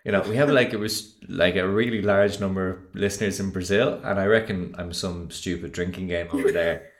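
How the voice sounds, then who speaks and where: A man's voice: low-pitched, steady tone, fairly quick, echoey room. A man hosting a podcast in casual chat, talkative and joking, laughing easily. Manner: chatty, jokey, laughs often. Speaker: podcast host.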